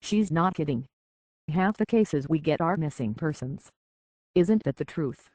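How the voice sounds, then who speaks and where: A man's voice: a little high, animated, measured pace, a little mellow, dry recording. A man playing a world-weary, decent android detective in a video game, speaking in a wry, plainspoken, reflective way on slightly dull audio. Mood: wry, easygoing. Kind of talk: video game character dialogue.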